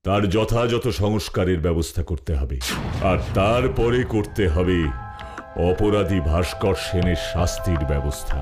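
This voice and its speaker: A man voicing a strong, determined detective hero in an animated cartoon. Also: low voice, a little slow, loud music behind the voice. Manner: firm, determined. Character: strong heroic detective, adult man, resolute.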